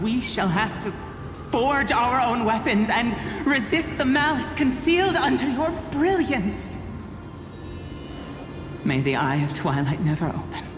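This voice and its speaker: A woman playing a proud, defiant priestess in a game, solemn and declamatory in formal speech. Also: mid-range voice. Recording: cavernous echo, music behind.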